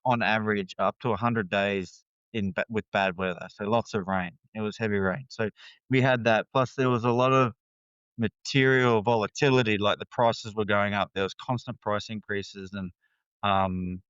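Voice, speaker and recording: Australian man, smooth voice, dry sound. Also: on the low side, fairly even intonation, moderate pace, a bit bright, no echo, dull audio. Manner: candid, rambling, many fillers and restarts. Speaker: guest. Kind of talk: casual interview talk.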